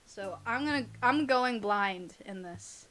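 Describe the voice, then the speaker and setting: A woman's voice: medium pitch, expressive, takes it slowly, a bit bright, a little hiss or hum. A young adult woman co-hosting in a casual, giggly, teasing way, chatting and reading aloud. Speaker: podcast co-host and audio roleplay creator.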